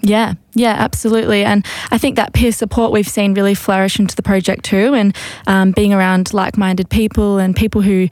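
A woman's voice: mid-range voice, little pitch movement, fairly quick, darkish tone, no echo. A woman explaining her community project in a casual interview, with frequent ums and fillers. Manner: hesitant, many ums and fillers. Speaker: project coordinator.

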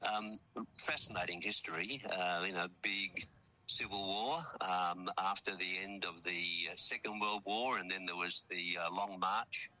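A man's voice: sits low, steady tone, a little slow, bright, forceful tone, rough recording. An Australian man talking as a radio correspondent from abroad, chatty and rambling, full of fillers and restarts. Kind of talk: casual chat on a phone link. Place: outdoors at a memorial hall abroad.

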